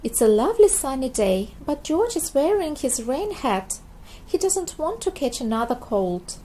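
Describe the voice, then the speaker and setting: A woman narrating a children's storybook in a scripted, expressive read-aloud style for very young listeners. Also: high voice, a little hiss or hum. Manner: slow, expressive delivery for small children.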